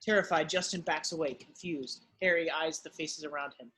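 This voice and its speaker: A man giving scripted narration, reading the stage directions of a drama in a husky voice. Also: on the high side, steady tone, normal speed, bright, forceful tone, rough recording.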